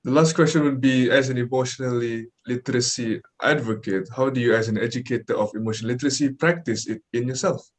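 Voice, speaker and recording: A young co-host of a casual student podcast, cheerful and quick to laugh. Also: mid-range voice. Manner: cheerful, laughs often, lively intonation.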